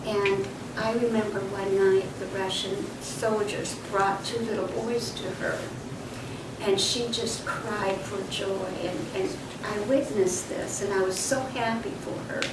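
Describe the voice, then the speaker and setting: A woman in her sixties, born in Poland with Polish as her first language, telling a halting, reflective childhood story in an interview. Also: medium pitch, fairly even intonation. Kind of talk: oral history recollection. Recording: echoey room, distant mic, background noise.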